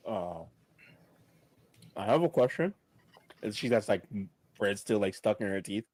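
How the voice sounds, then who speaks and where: A man's voice: fairly low pitch, lively intonation, unhurried, darkish tone. A man playing a tabletop game, chatting in an informal, tentative, improvised way. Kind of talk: casual in-character improvised chat.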